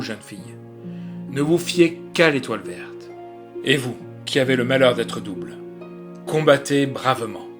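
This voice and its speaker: A man reading literary fiction as an audiobook narrator, in a dramatic storytelling manner. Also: mid-range voice.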